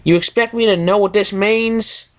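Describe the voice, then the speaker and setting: Southern country pony girl, defensive, high-pitched, a reader faking the accent. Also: fairly even intonation, normal speed, lightly acted. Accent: attempted Southern US. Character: tough country female pony, touchy.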